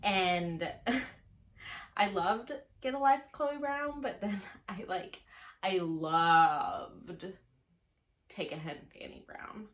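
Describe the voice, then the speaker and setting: A woman talks casually, as if to friends on her book vlog, at a slow, unhurried pace. Her pitch sits in the middle for a woman. She is playful and pokes fun at herself, leaning on words and drawing them out for dramatic effect. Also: expressive, fairly bright tone. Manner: playful, giggly and self-mocking. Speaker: book reviewer on a video channel. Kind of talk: casual chatty vlog to camera.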